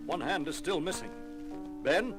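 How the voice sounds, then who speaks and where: An actor playing an earnest, commanding scientist who leads a superhero team, speaking formally in an audio drama. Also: male voice, on the high side, animated, a little slow, over music. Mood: resolute. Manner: formal, explanatory, declamatory.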